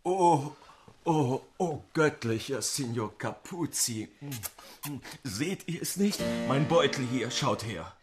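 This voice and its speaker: A woman playing a flattering, wheedling servant clown in a scripted comic radio play, gushing and theatrical, with music under the voice. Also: rumbling low, slow, exaggerated voice. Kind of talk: scripted comic radio drama in commedia style. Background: soft guitar music.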